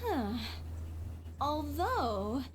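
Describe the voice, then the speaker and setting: A woman's voice: high-pitched, very animated, very slow, background noise, heavily acted. A woman playing an earnest young theatre troupe member in a video game, explaining things sincerely. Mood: earnest, hopeful.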